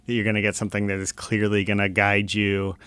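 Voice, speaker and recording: A man chatting casually as an actor guest in a radio interview, telling things in an animated way. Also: lowish voice, fast, clean tone, no room sound. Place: radio studio.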